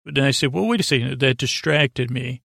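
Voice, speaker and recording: Creaky-voiced man rambling soothingly. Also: medium pitch, dry recording, clean studio sound. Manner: meandering, hesitant, goofy deadpan digressions; soothing, drawn-out delivery. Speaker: podcast host. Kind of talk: rambling bedtime monologue.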